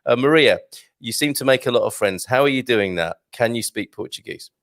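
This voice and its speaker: A man's voice: mid-range voice, a little fast, a bit bright, dry recording, clean studio sound. A man hosting a casual interview, friendly, reading out viewer comments and laughing easily. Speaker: host.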